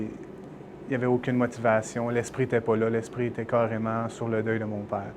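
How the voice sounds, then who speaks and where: A man's voice: medium pitch, background noise. Young Québécois man, reflective. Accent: Québécois French. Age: 20s. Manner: reflective, sober. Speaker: former school dropout. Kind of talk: interview testimony.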